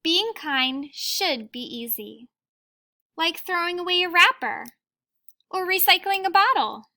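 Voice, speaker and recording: A woman reading a children's story aloud like a teacher, in an expressive, deliberate way, with asides to her young listeners. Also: very high-pitched.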